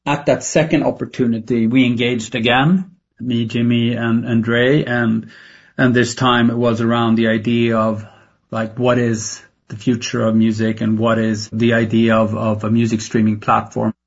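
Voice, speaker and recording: Gravelly, even-toned Swedish man. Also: mid-range voice, moderate pace, highs cut off. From Sweden. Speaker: tech entrepreneur interviewed as a guest. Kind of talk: conversational interview answers.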